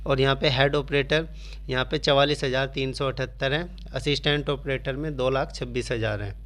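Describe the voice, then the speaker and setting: Man, flat-pitched coaching update. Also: a little fast. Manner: repetitive, explanatory phrasing with English exam terms mixed in. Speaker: host of an exam coaching channel. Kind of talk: informational exam update addressed to students.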